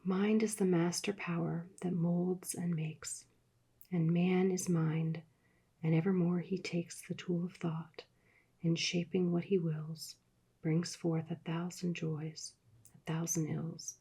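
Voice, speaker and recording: A woman reads in a soft-spoken ASMR hush, slow and soothing, slipping now and then into whispers. Her voice is very breathy with a gravelly fry, and its tone is dark and mellow. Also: fairly low pitch, little pitch movement. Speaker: ASMR reader.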